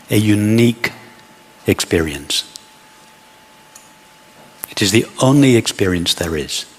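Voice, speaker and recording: A man leading a guided meditation as a teacher, speaking in measured short phrases with long pauses. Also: low voice, fairly bright tone, breathy edge, big-room sound.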